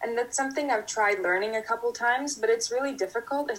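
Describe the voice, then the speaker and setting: A woman's voice: on the high side, a little fast, rough recording. Young woman answering thoughtfully. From Canada. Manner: thoughtful, steady, speaks in full sentences. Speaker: guest. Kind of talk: casual interview answers.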